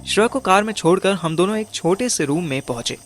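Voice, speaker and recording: A man with a slightly high voice tells a first-person audio story. He narrates scenes dramatically, and soft music plays underneath. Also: lively intonation, brisk. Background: background music.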